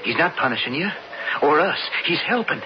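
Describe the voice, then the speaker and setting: A man's voice: a little high, animated, moderate pace, natural voice. An actor playing an earnest, anxious young western man in a radio drama. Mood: urgent hope. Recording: noisy muffled old radio broadcast.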